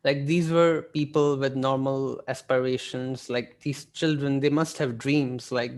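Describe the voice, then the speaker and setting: A man from Pakistan whose first language is Urdu, interviewing a guest over a video call, agreeable and soft-spoken with frequent "like"s. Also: mid-range voice. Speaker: blogger and interviewer.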